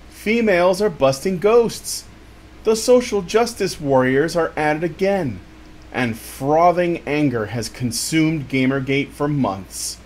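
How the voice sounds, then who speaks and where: Male narrator, higher-pitched and very animated, theatrical storytime delivery of written text, faint noise behind. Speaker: show host reading an article aloud. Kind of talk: dramatic storytime read-aloud of an article.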